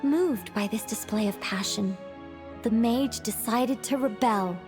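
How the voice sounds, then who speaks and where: A woman playing a composed, gently teasing young female storyteller, narrating dramatically and articulately in a game cutscene. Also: fairly high pitch, unhurried, music in the background, lightly acted. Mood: dramatic storytelling.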